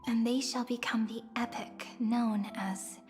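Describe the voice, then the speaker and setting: A woman voicing a gentle, wistful young woman in a game, speaking softly in a breathy, half-whispered way. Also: fairly high pitch, steady tone, unhurried, faint music behind, natural voice. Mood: tender. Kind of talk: game voice acting.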